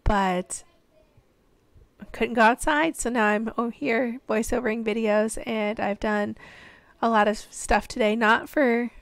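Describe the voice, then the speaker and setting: A woman in her thirties from the American Midwest, hoarse and losing her voice, chatting in a rambling voiceover at home. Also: a little high, steady tone, unhurried, no room sound. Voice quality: tired, yawning. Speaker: reseller vlogger.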